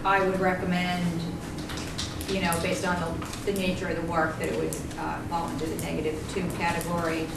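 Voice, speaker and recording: A woman's voice: on the low side, monotone, brisk, gravelly. Distant woman agent in an echoey hall. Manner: informative, businesslike. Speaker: conservation agent. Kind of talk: public meeting discussion. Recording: distant, roomy, very noisy meeting broadcast.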